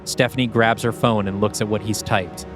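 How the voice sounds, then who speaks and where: A man's voice: on the low side, steady tone, moderate pace, music in the background. A man reading scripted screenplay action as narration for a podcast.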